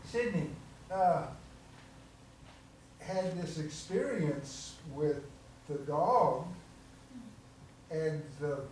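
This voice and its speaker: A man with a somewhat high voice speaks very slowly and hesitantly. He is far from the mic in a very echoey room, with a faint hum behind him. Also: darkish tone. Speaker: audience member giving feedback. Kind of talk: casual discussion.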